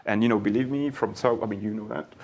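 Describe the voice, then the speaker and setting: A man's voice: fairly low pitch, fast, a little mellow, dull audio. A man speaking as a panelist in a business webinar, chatty and conversational, often saying "you know". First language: not Portuguese.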